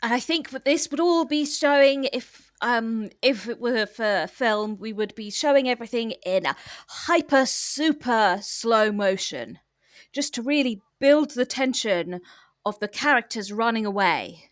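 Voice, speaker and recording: Higher-pitched woman, dry close sound. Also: expressive, unhurried, no echo. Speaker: player in a tabletop game. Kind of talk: improvised scene description.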